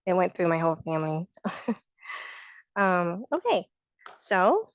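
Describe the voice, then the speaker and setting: A woman, hoarse from a cold, teaching a sign language class over a video call and giving patient, encouraging feedback. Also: fairly low pitch, sing-song, unhurried, no echo. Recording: dull, dry video call audio.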